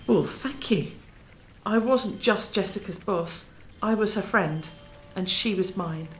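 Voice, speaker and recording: A woman playing a sympathetic, steadfast news boss in a radio drama, speaking gently and earnestly. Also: mid-range voice, slow, a little hiss or hum, close to an everyday voice.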